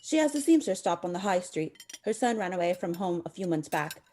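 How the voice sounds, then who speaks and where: A woman playing a gossipy, sharp-tongued village housekeeper in a radio play, brisk and folksy. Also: lowish voice, very animated, slight background noise. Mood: brisk, tart.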